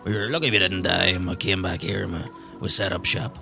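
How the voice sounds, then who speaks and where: A man playing a grumpy, evasive old man at a pub, halting and defensive, with an or lilt, in improvised tabletop roleplay. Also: low-pitched, fairly even intonation, gravelly, over music. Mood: wistful, weary. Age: older. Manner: halting, defensive, repeats himself.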